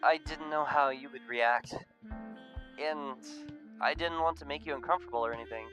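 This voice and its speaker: A man's voice: mid-range voice, normal speed, pushed and bright, faint music behind, natural voice. A man voicing a nervous, lovestruck young man in a visual novel, talking in an informal, self-conscious way. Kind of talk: visual novel character read-aloud.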